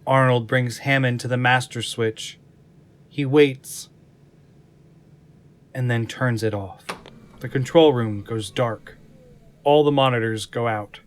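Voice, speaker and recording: A man's voice: mid-range voice, steady tone, slow pace, long pauses, clear, resonant tone. A man reading scripted scene narration for an audio drama.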